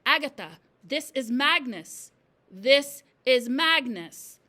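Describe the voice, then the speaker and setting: A woman voicing a commanding, menacing male ghost from a storybook in slow, emphatic words. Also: sits high, animated, bright, forceful tone, voice pushed up, exaggerated voice.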